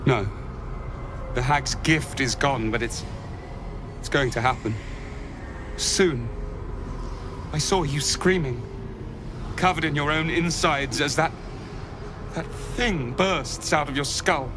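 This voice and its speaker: A man's voice: a little high, slow pace, long pauses, background noise. An actor playing a haunted, horrified man in a video game, speaking with fervent dread. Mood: dread, foreboding.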